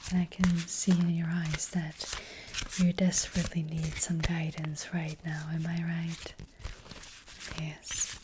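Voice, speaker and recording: Whispering, slow, gentle witch fortune teller played by a woman. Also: low-pitched, completely flat delivery, background noise. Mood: gentle, attentive. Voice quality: breathy whisper. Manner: gentle, hesitant, slow and reassuring. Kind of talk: soft-spoken whispered ASMR roleplay. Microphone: close ASMR microphone.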